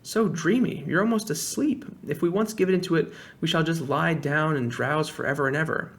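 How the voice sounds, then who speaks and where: A man reading aloud, voicing a sensible young girl in a higher, softer voice for a storybook. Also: brisk. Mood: urging.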